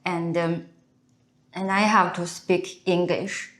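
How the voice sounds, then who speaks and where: Female co-host, native Chinese speaker with Chinese accent, lowish voice, slow halting delivery, casual and unscripted. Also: flat delivery, fairly bright tone. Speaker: co-host, video creator.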